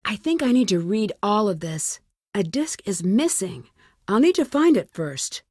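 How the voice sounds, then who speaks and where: A woman playing a plucky, quick-witted young female detective in a video game, thinking aloud in clearly spoken one-liners. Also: mid-range voice, sing-song, darkish tone, no room sound, studio quality. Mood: focused, musing. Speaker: game protagonist.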